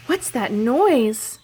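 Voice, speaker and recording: A woman childcare educator telling stories and playing with toddlers in a sing-song, animated, child-directed way, using simple phrases. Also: on the high side, very slow, breathy edge. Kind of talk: sing-song picture book storytelling and play for toddlers.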